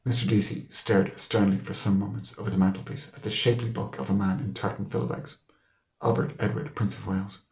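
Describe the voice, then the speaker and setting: Male literary narrator, Irish accent, lowish creaky voice, roomy recording. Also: little pitch movement, fairly quick. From Ireland. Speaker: audiobook reader. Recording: roomy echo.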